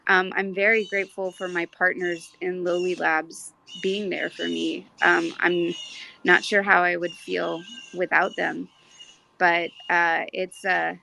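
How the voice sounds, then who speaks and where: Gravelly-edged woman in earnest discussion. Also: fairly low pitch, flat delivery, unhurried, bright, forceful tone, slight background noise, rough recording. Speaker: speaker in an online audio community discussion. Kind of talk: casual, reflective group discussion.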